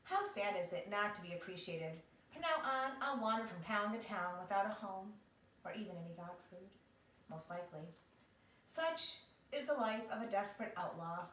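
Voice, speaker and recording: A woman's voice: mid-range voice, expressive, normal speed, a bit bright, slight background noise, close to an everyday voice. A woman voicing a melodramatic, self-pitying male pet dog with theatrical, wheedling complaints in a picture-book read-aloud. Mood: dramatic, forlorn. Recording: echoey room, distant microphone.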